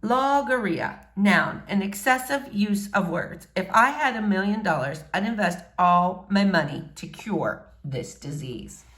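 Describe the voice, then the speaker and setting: A woman reading a novel in verse aloud, expressively, in short measured phrases. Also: medium pitch, animated, fairly bright tone. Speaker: audiobook-style reader.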